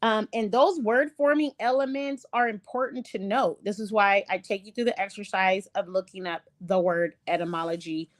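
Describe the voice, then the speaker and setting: Halting woman lecturing from slides. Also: mid-range voice, dry recording, clean studio sound. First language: not English. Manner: halting, many ums and restarts. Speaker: online show host. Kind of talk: casual lecture reading from slides.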